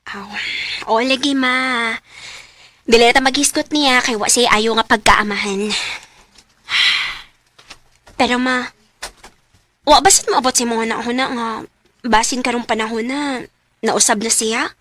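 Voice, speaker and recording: An actress playing an earnest young student daughter in an emotive Cebuano radio drama. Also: female voice, on the high side, steady tone, unhurried, pauses often, a bit bright, dry recording. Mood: curious, respectful.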